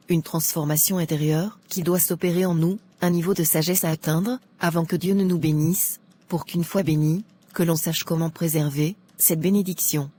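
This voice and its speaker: A woman's voice: on the low side, mellow, husky. A woman playing a devout character in her thirties in a cartoon drama, speaking earnestly, like a kindly advisor. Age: 30s. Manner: earnest, counselling.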